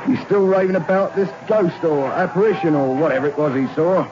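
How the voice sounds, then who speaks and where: A man's voice: a little high, a little fast, natural voice. A man playing a deferential prison warder. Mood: respectful. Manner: respectful and matter-of-fact. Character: loyal male senior prison warder. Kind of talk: 1960s radio drama acting. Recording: dull lo-fi old radio recording with faint hiss.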